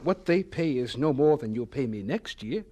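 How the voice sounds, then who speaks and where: An actor playing an earnest, humble young scientist in a radio drama, speaking with a respectful, hesitant manner. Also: male voice, medium pitch, animated, normal speed, dark tone, lightly acted.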